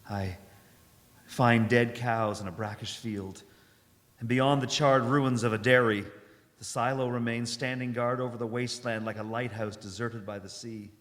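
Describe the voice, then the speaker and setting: A medium-pitched male voice carries with long reverb, as if from a hall stage. He is a haunted, weary survivor played by a male actor, solemn and uncertain in the manner of a radio play. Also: fairly even intonation, a bit bright, close to an everyday voice. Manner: halting and uncertain, dramatic solemn delivery. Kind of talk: live stage radio drama acting. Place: church hall stage, live performance. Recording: echoey hall, live performance.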